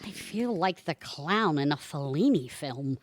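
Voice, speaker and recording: A woman's voice: low-pitched, lively intonation, a little slow, noisy background. A woman playing a dryly sarcastic, exasperated suburban wife in an audio comedy, with theatrical delivery.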